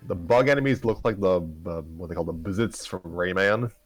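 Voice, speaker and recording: A man's voice: deep voice, brisk, dry recording. A man chatting casually as co-host of a livestreamed story-reading show, with a dry, joking manner. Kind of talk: casual group chat on a livestream.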